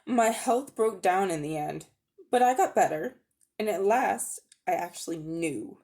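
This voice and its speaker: Woman voicing a pompous old magician uncle, swooping pitch. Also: expressive, a little slow, a little mellow. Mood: self-satisfied. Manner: pompous, self-important, easily affronted. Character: vain, pompous, grey-haired old uncle, an amateur magician.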